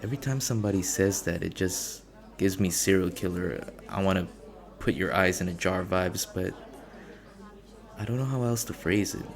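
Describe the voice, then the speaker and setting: A man playing a shy, socially anxious, gentle young stranger in an ASMR roleplay, speaking hesitantly and rambling in an earnest, self-conscious way. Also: moderate pace. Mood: awkward, rambling. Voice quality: low, gravelly with vocal fry. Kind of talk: ASMR boyfriend audio roleplay, intimate naturalistic acting.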